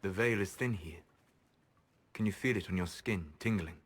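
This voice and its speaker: Fantasy game elven mage: grave yet gentle, low register, breathy husk, measured formal delivery, solemn. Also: male voice. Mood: tender. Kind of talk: dramatic video game acting.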